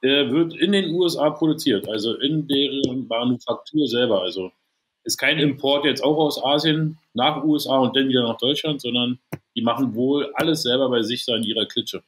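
A man's voice: medium pitch, normal speed, a bit bright, poor audio. A man in his thirties from Berlin, co-hosting a podcast over a video call on a headset. He talks loosely, swears in jest and explains gear eagerly. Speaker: co-host. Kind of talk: casual podcast chat.

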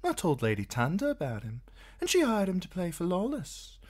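A man's voice: high-pitched, huge pitch swings, normal speed, a little mellow, voice pushed up, exaggerated voice. A man voicing a sulky young woman who pleads with her lover. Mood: eager.

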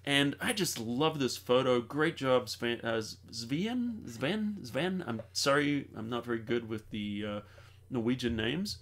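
A chatty middle-aged man hosts a live tutorial stream, talking straight to his viewers in an upbeat, easygoing way. He sits at a desk in a home studio, close to a desk mic, and his voice sits at an ordinary middle pitch. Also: a little slow, a bit bright. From New Zealand. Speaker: host.

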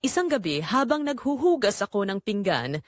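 A woman's voice: mid-range voice, very animated, moderate pace, dry recording, clean studio sound. A woman narrating a dramatic first-person story as a scripted audio drama.

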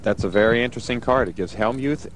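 A man's voice: medium pitch, fast, a little hiss or hum, low-quality recording. A man giving color commentary as an analyst on a televised poker game.

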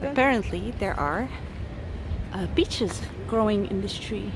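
A woman's voice: medium pitch, animated, a little slow, background noise. A young Dutch-speaking woman from the Netherlands vlogging enthusiastically outdoors on a handheld camera. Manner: chatty, enthusiastic, talking while walking outdoors. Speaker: travel vlogger. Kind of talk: casual travel vlog narration. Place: outdoors in parks and streets.

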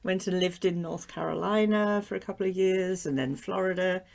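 A middle-aged woman with an English accent that has picked up a little New Zealand, talking casually over a webcam from her home sewing room. Her voice sits fairly low and has a gravelly edge, and she laughs easily as she chats. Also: steady tone, moderate pace, darkish tone. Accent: English with some New Zealand influence. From England. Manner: chatty, laughs often. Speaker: guest, quilt shop owner and designer. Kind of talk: casual interview chat. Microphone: video call webcam.

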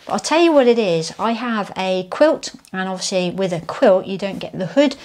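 A woman's voice: medium pitch, expressive, moderate pace, darkish tone. Chatty woman reviewing gear. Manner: chatty, self-deprecating, lots of fillers and restarts. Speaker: hiking gear vlogger. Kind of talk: casual gear review to camera. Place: living room at home, indoors.

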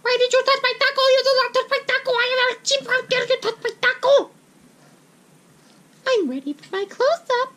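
A man's voice: very high voice, normal speed, long pauses, bright, forceful tone, voice pushed up. A man voicing a showy, exclamatory little animal animatronic from a horror game. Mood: indignant. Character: squeaky indignant chimp and perky frog animatronic performers. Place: small room at home.

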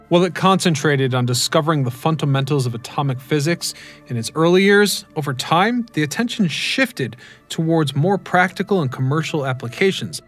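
A man in his thirties giving scripted documentary narration as a history channel host, in a measured, explanatory way, recorded in a dark studio on a boom mic. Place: dark studio with neon sign.

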